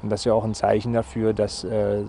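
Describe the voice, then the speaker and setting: A man giving an unscripted interview as a farmer, explaining matter-of-factly and haltingly, rephrasing as he goes. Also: on the low side, monotone, vocal fry, dark tone, a little hiss or hum.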